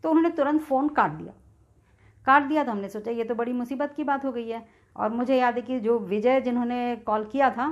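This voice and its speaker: A middle-aged woman with an Indian accent telling a personal experience casually and in detail, acting out bits of dialogue as she goes. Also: on the high side. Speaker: video creator sharing a personal experience. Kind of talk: casual first-person storytelling with re-enacted dialogue. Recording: dull, lo-fi sound.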